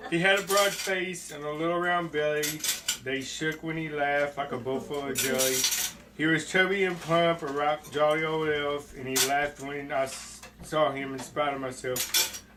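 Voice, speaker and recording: A man's voice: fairly high pitch, fairly even intonation, moderate pace. A man reading a storybook poem aloud, stumbling and repeating phrases. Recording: clattering household noise behind.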